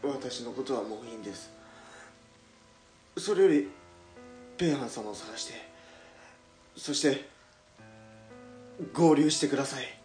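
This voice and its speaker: A man's voice: mid-range voice, animated, a little mellow, natural voice. Radio drama casting: man plays mortally hurt, courteous henchman, devoted and selfless, breathless and faltering, roomy sound, music bed. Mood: dying, earnest. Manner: polite, halting speech in short broken phrases. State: gasping, weak, coughing from grave wounds. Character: gravely wounded, loyal gangster's aide, polite and selfless.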